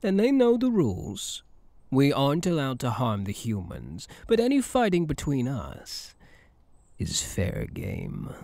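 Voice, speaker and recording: A dark-voiced, possessive male elf acted by a man for an ASMR roleplay, slow and intimate. He coaxes and soothes his beloved with devoted intensity, husky and swooping between low murmurs and lighter tones. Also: huge pitch swings, no echo, exaggerated voice. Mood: confident, explaining. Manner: coaxing, soothing, intense, often dropping to a whisper.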